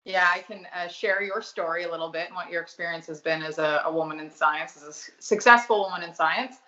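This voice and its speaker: A woman's voice: fairly low pitch, brisk, bright, forceful tone. A woman hosting an interview, asking questions and affirming her guest with short replies. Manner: friendly, affirming with short backchannels. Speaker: interviewer, host. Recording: echoey, slightly dull.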